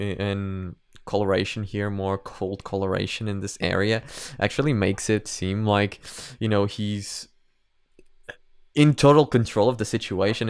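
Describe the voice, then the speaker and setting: A man's voice: lowish voice, clean tone, dry recording, clean studio sound. A man hosting a reaction video, giving casual, conversational commentary.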